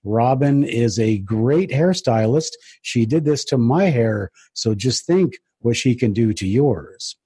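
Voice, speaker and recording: A man hosting a podcast in a conversational way, with a somewhat halting delivery. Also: mid-range voice, lively intonation, warm tone, slightly rough, dry recording. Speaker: podcast host.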